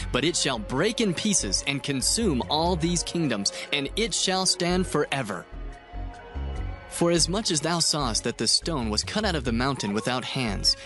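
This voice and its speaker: An actor playing a devout, solemn prophet in a dramatized scripture reading, grave and oratorical, with music underneath. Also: male voice, mid-range voice, close to an everyday voice. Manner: grave, oratorical, measured phrasing.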